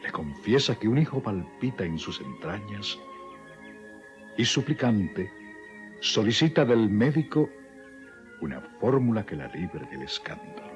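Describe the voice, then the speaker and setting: A man's voice: fairly low pitch, big pitch swings, unhurried, long pauses. A man announcing an old radio drama in a solemn, formal, melodramatic way, reading scripted narration. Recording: dull archival radio recording with music. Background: music.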